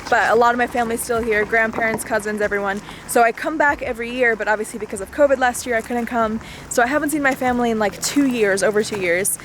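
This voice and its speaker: Young female travel vlogger, from Bulgaria, chatty and a bit high-pitched, faint outdoor noise behind. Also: fast talker, few pauses. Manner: chatty, explains history with frequent "like" fillers. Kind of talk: casual vlog narration. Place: outdoors at monuments and sites.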